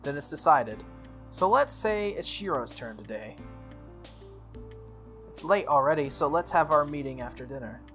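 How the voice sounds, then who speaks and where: A man voicing a proud, bossy teenage girl from a visual novel, speaking in a brisk, demanding, slightly haughty way. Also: on the high side, lively intonation, a bit bright. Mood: brisk, decisive. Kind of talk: visual novel character reading. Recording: music playing behind the voice.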